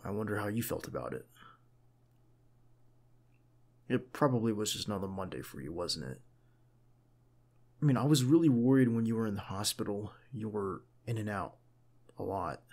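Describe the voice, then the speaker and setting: A man playing a protective, caring bodyguard in an ASMR roleplay, talking conversationally and with gentle insistence to his boss. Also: on the low side, expressive, a little fast. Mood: hesitant, tender. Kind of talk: ASMR roleplay acting, one side of a dialogue.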